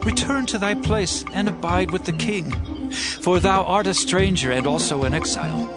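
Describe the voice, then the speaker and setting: A man's voice: sits high, moderate pace, close to an everyday voice. An actor playing a grieving, devout king in a dramatized scripture audiobook, speaking in a solemn, archaic, declamatory way. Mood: earnest, kindly. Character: grieving, devout king fleeing his rebel son. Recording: loud music under the voice.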